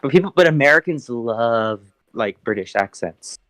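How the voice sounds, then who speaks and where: This is a man with a medium-pitched voice recorded dry and close, with no room sound at all. He co-hosts a casual stream, agreeable and hesitant, filling his sentences with uhs and likes. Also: expressive, moderate pace, fairly bright tone, no echo. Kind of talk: casual political chat on a stream.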